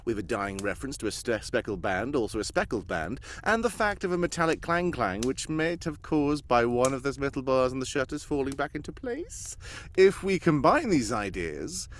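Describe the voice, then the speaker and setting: A man's voice: medium pitch, very animated, normal speed, a little hiss or hum, lightly acted. A man playing an arrogant, droll detective in a live comic read of an old-time radio mystery, theatrical and full of wry asides. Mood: wry, pondering.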